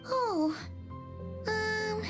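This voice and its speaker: A woman's voice: extremely high pitch, drawn-out words, heavily acted. A woman voicing a tiny, cheerful childlike fairy companion in a video game, with a chirpy manner. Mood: musing, reassuring. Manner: chirpy, refers to self by name. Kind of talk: video game character dialogue. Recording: music behind the voice.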